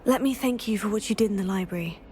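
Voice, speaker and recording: A woman's voice: medium pitch, quick pace, mellow, a little hiss or hum, close to an everyday voice. A young woman playing a polite, curious student in a game cutscene, earnest in tone.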